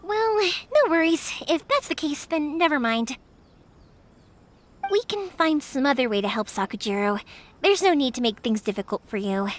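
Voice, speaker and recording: A woman's voice: high-pitched, animated, normal speed, slight background noise. An actress playing a kind, spirited young woman in a video game, protective and upbeat. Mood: understanding. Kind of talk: English video game voice acting.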